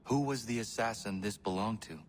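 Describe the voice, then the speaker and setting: An actor playing a calm, earnest young male assassin in a video game, with measured, formal speech. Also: lowish voice, slight background noise, natural voice. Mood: calm, polite. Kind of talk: video game cutscene acting.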